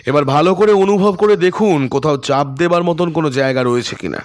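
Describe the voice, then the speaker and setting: A man playing a calm, self-assured detective in an audio story, explaining things deliberately and without fluster. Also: fairly high pitch, no echo, lightly acted. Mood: focused.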